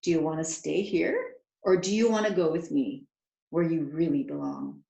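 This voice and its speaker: A woman voicing a gentle, earnest manservant in a storybook, speaking in a firm, measured, urging way. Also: low voice, sing-song, vocal fry, echoey room, highs cut off, heavily acted. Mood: gently urging.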